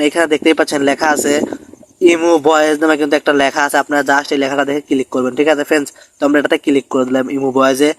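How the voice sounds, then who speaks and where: Very fast-talking man, tutorial host. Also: fairly high pitch, no echo. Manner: repeatedly checks in with listeners. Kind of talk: step-by-step screen tutorial narration.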